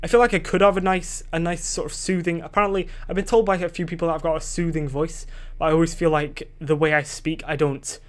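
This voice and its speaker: Young adult male solo podcaster, slightly high voice; rambling, self-doubting delivery with casual swearing. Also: steady tone, fast, hardly any pauses. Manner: rambling and self-questioning, loses his train of thought. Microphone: desk microphone.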